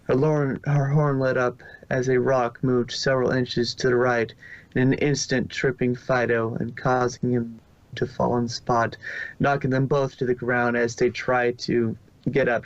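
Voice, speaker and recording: A man narrating a story from a script, now and then stumbling over a word and repeating it. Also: mid-range voice. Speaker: fan fiction reader and narrator. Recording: dull lo-fi home recording.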